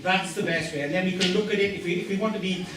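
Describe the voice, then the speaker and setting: A man at a public meeting speaking up loudly and bluntly. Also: high-pitched, fairly even intonation, quick pace. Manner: loud, blunt and emphatic. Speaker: meeting attendee. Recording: echoey hall with background noise, far off.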